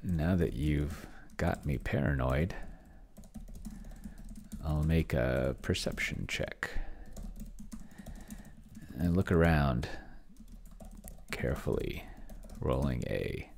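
A middle-aged man thinking aloud casually at his desk, halting and murmuring as he types and reads, close to the microphone. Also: extremely low pitch, vocal fry, a little mellow, slight background noise. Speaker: host of a solo roleplaying experiment stream. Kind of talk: casual thinking aloud while typing and reading from screen. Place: indoor home room. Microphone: desk microphone close to the mouth.

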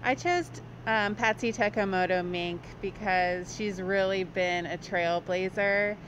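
A woman's voice: medium pitch, unhurried, fairly bright tone. A woman in her thirties from Hawaii, an artist being interviewed on the street, talking thoughtfully with frequent ums. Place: outdoors by a brick wall on a city street. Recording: dull, noisy street audio.

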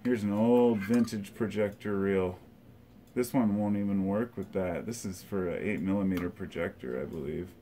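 Middle-aged guy casually thinking out loud, kinda low voice, at home w a camera close by. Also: normal speed, slight background noise. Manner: relaxed, rambling, thinking aloud with fillers. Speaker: collector showing his tape reels. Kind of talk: casual show-and-tell. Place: living room by a record shelf, indoors.

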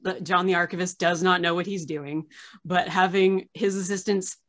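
A woman in her thirties hosting a casual interview over a video call from home, chatty and animated, laughing often. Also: lowish voice, moderate pace. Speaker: archivist and show host. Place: home room with framed pictures on yellow wall.

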